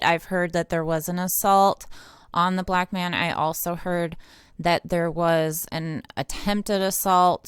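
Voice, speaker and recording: Smooth, low-toned American woman, blunt political commentary. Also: dry recording, clean studio sound. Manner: blunt, indignant, swears freely. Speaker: independent online political commentator and activist. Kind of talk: impassioned unscripted political commentary to camera.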